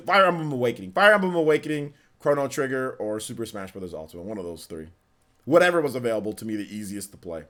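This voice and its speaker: A man's voice: medium pitch, fairly bright tone. Rambling young man streaming live. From California. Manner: rambling, repeats himself, many restarts and fillers. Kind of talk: casual live-stream chat reading viewer questions.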